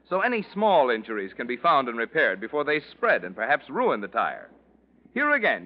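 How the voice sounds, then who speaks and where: A man delivering a 1940s radio sponsor announcement with polished, emphatic salesmanship. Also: fairly high pitch. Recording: dull 1940s radio recording.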